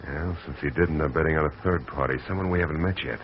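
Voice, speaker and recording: A hard-boiled private eye who narrates in a clipped, wry, tough way. His voice is extremely deep. The old broadcast sounds dull and carries a faint hiss. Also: fast talker. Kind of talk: 1940s radio acting.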